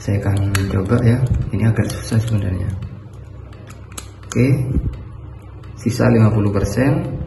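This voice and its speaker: A man's voice: lowish voice, measured pace, vocal fry, long pauses. A man giving a casual hands-on product review, explaining step by step in a reverberant room. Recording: echoey reverberant room with background noise.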